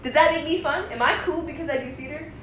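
A woman's voice: high-pitched, fast, fairly bright tone, a little hiss or hum. Playful young woman in an echoey room. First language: Spanish. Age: young adult. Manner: joking, playful, theatrical. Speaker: student podcast co-host. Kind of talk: casual chat.

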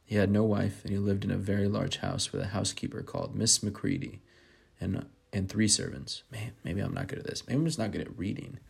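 A man's voice: on the low side, steady tone, fairly quick, gravelly, slightly rough. A man chatting casually and hesitantly in a self-deprecating way, sometimes reading aloud. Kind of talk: casual rambling chat, with some reading aloud from a book.

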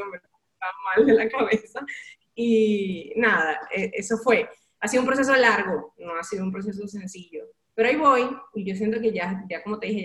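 A woman with a Venezuelan accent speaks as a guest sharing her own story. Her delivery is candid, expressive and confiding, full of colloquial turns. Her pitch sits in the middle range for a woman, and the room adds a clear echo. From Valencia, Venezuela. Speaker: interview guest, radio and event host. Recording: roomy, echoing sound.

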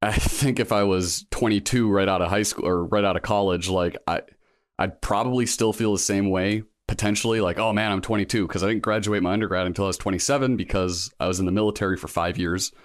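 A man's voice: fairly low pitch, fairly even intonation, fast talker, no room sound, clean studio sound. A man in his thirties, a guest in a casual interview, talking in a reflective, rambling way with many fillers and restarts. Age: 30s. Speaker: guest, law school applicant.

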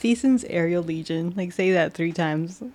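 A woman's voice: on the low side, normal speed, a little mellow. A woman co-hosting a podcast in casual book-club chat, laughing often and chiming in with jokes. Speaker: podcast co-host.

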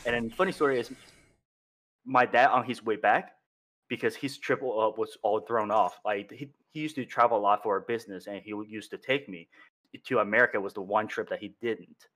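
Rambling man from China, lowish voice. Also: brisk, a bit bright. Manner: rambling, many fillers and restarts. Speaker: podcast guest. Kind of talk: casual storytelling chat.

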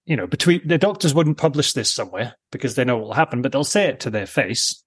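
Husky man around fifty, musing. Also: mid-range voice, expressive, fast. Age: late 40s to early 50s. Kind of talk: casual conversation.

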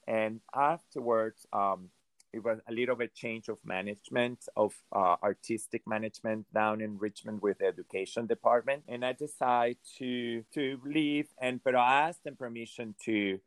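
A middle-aged man with a Venezuelan accent, a ballet director speaking in an interview, halting and repeating himself, with many "you know"s. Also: medium pitch, fairly even intonation, no echo. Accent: Venezuelan Spanish. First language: Hungarian. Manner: halting, repeats words and restarts, says "you know" often. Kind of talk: conversational interview.

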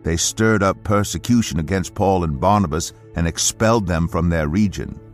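Male audio Bible narrator, low voice, scripted scripture reading over music. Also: moderate pace.

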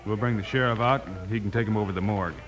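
Man as steady cowboy lawman on crackly radio. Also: fairly low pitch, natural voice. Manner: firm, plain-spoken, unhurried Western talk. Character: steady, heroic cowboy lawman, calm and decisive. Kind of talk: 1950s radio Western acting. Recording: very noisy old radio recording, clicks.

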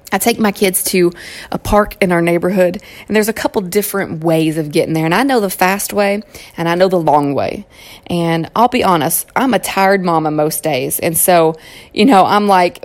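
Encouraging American woman speaker. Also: mid-range voice. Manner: conversational, encouraging, emphatic repetition. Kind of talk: inspirational talk to an audience.

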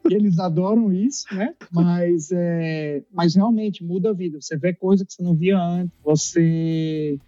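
A Brazilian dentist from Recife, a man, telling an animated story in an interview, acting out dialogue and laughing often. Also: sits high, a little slow, hardly any pauses, no echo, lo-fi sound.

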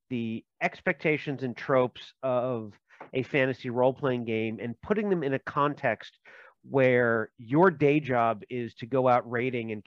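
A man from Minnesota, a game designer, talks through his ideas in a halting, joking way, full of fillers and restarts. His voice sits at a middling pitch. The audio is slightly dull and lo-fi, with a dry, close sound. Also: no echo. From Minnesota, USA. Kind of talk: casual interview chat. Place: home.

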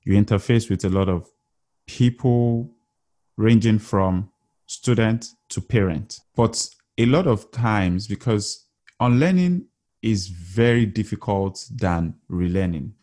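A man's voice: lowish voice, slow, dark tone. A man interviewing a guest, asking long, earnest, rambling questions.

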